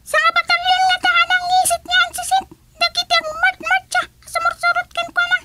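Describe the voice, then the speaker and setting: A woman voicing an excitable, high-voiced underling of a fairy kingdom in a comedy radio drama. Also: a little fast, very noisy. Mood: frantic, pleading.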